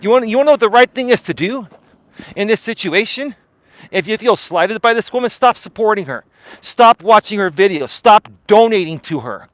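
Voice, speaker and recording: A man's voice: high-pitched, expressive, brisk, fairly bright tone, no echo. A man live-streaming while out on a walk, ranting bluntly at his viewers and hedging his claims with "in my opinion.". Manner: blunt, argumentative, repeats "in my opinion". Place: outdoors on a walk.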